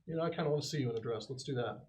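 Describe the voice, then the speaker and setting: A man's voice: medium pitch, rapid-fire, a little mellow. A man playing a tabletop role-playing game, bantering casually in character with a teasing, swaggering manner.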